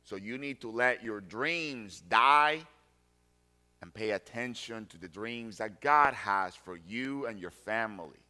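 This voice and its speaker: Slow, bright-voiced pastor. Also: male voice, lowish voice. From Colombia. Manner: deliberate, emphatic repetition of key phrases. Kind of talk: sermon.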